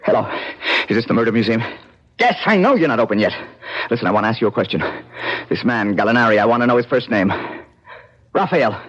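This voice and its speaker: A struggling, self-doubting painter with an American accent in an old radio drama. The voice is husky and the delivery theatrical and emotional. The recording is dull and lo-fi. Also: male voice, medium pitch, lively intonation, quick pace, pauses often, a bit bright. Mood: agitated, insistent.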